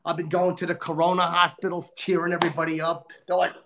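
A man playing a crude, boastful, short-tempered male comic, cursing and griping as he hosts a livestream in a nasal, gravelly put-on voice. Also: fairly high pitch, level tone, normal speed, noisy background. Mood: crude ranting. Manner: profane, insulting, exasperated rants. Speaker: livestream host. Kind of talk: livestream comedy character rant.

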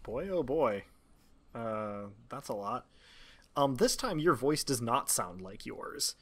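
A man's voice: mid-range voice, big pitch swings, slow. A man acting as game master, narrating a tabletop game in a casual, improvised way.

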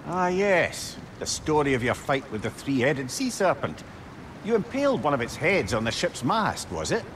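A man's voice: fairly high pitch, very animated, normal speed, noisy background. An actor playing a chatty, wry, sentimental male companion in a video game, telling stories in a wordy, teasing way. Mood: curious. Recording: loud game ambience behind.

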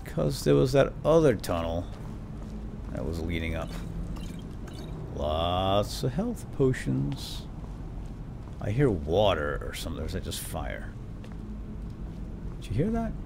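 A man's voice: low voice, huge pitch swings, a little slow, creaky, long pauses, background noise. A man hosting a let's play with lightly role-played commentary, murmuring asides and breaking into exclamations. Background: game music.

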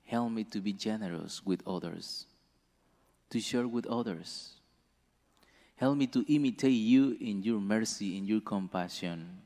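Deep-voiced priest in a reverberant church. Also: male voice, warm tone. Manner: slow, measured delivery with careful pauses. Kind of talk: gospel reading and homily. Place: church sanctuary. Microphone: stand mic at a lectern.

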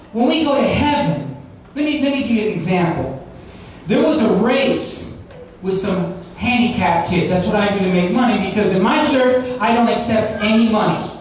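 A chaplain who grew up in West Africa, preaching a guest sermon in a folksy way, with direct questions to the congregation. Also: male voice, extremely high pitch. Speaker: chaplain and pastor. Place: church sanctuary. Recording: echoey church room from far off with music.

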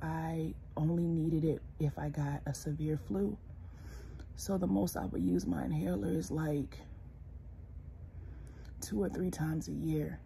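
A young woman lies in bed speaking into a close phone camera, her voice congested and hoarse. She talks slowly and wearily in a low, mellow tone, often dropping toward a whisper. A faint hiss sits under the quiet bedroom. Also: steady tone. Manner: tired, soft-spoken, sometimes dropping to a whisper. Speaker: vlogger. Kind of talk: casual personal vlog. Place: bedroom, lying in bed indoors. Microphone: phone camera close, held low.

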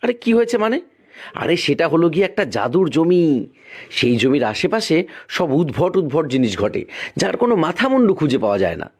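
High-pitched, theatrical village farmer. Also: male voice, very animated, fast. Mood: coaxing, persuading. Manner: theatrical, exclaiming, coaxing. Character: poor village farmer, anxious, scheming husband. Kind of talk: cartoon voice acting.

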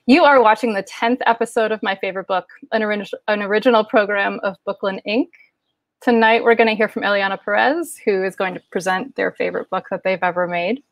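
A woman's voice: mid-range voice, fairly even intonation, brisk, no echo. A middle-aged woman hosting an online program from home, introducing it in a casual presenting style over a video call. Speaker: host. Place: home room indoors, kitchen shelves behind. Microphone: video call, wearing headphones.

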